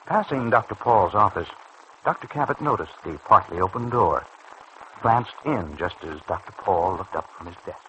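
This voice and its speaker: Male narrator, lowish bright voice, formal, dramatic, vintage radio soap. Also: lively intonation, moderate pace, muffled recording. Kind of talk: mid-century radio serial scripted narration. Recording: faintly noisy old radio recording.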